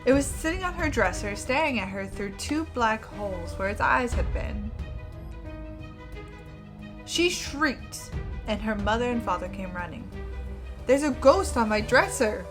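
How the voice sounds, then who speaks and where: Higher-voiced woman reading stories playfully. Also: unhurried, long pauses, music in the background. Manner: playful, expressive, interrupts herself with asides. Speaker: co-host reading stories aloud. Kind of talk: dramatic story reading mixed with casual banter.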